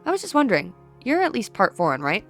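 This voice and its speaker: A woman's voice: mid-range voice, huge pitch swings, fast, soft background music, pitched above the performer's own voice. A woman voicing an awkward, earnest teenage schoolboy in a visual novel, speaking in a hesitant, friendly way.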